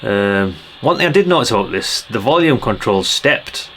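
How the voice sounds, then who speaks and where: Gravelly, low-voiced older man. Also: very animated, moderate pace, a bit bright, slight background noise. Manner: chatty, rambling, thinking aloud. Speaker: radio hobbyist reviewer. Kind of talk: casual hands-on product review.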